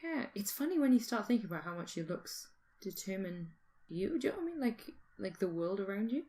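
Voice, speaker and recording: A woman's voice: medium pitch, very animated, fairly quick, a little mellow. A British woman in casual conversation, musing informally and thinking aloud as a podcast host. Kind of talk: casual speculative chat.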